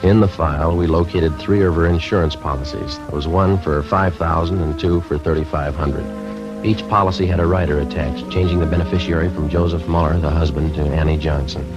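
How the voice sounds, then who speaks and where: Low, clipped American male detective sergeant, businesslike and deadpan, dull vintage radio sound. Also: fairly quick. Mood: matter-of-fact narration. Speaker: lead actor and narrator of a radio police drama. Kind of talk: 1950s radio acting, clipped procedural narration. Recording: dull 1950s radio recording with music.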